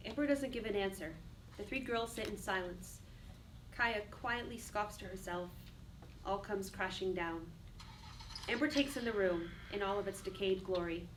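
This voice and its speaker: A woman's voice: medium pitch, moderate pace. A woman reading stage directions as a scripted dramatic narrator. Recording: distant mic, faint background noise.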